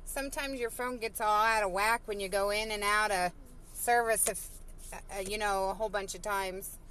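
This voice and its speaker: A woman's voice: mid-range voice, fairly even intonation, moderate pace, a bit bright, clean tone. A woman in her thirties chatting casually on a live stream from a parked car, reading viewer comments with lots of fillers, recorded on a phone at arm's length. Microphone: phone held at arm's length.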